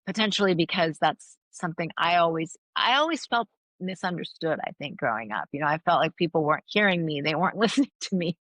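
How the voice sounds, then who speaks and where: A woman's voice: lowish voice, animated, fast talker, a bit bright, no echo. A middle-aged woman whose first language is Farsi, a guest giving earnest, thoughtful interview answers with frequent restarts. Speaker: guest, anthropologist and author.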